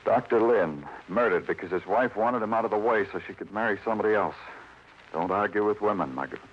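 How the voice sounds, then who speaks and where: Man playing a low-voiced, hard-boiled radio detective. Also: fast talker, slight background noise, lightly acted. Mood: businesslike. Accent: American. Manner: terse, brooding, sardonic. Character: weary, hard-boiled New York police detective. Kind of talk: 1940s radio crime acting, dramatic narration. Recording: dull vintage radio recording, faint music.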